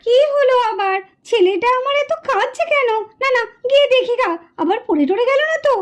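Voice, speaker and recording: A woman's voice: extremely high pitch, animated, brisk. A woman voicing a mother who scolds her daughter and dotes on her son. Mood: worried. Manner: scolding, coaxing. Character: mother Pushpa, scolding but doting.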